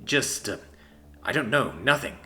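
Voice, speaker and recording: A man reading a story, voicing an awkward young man who speaks quietly and haltingly. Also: medium pitch, animated.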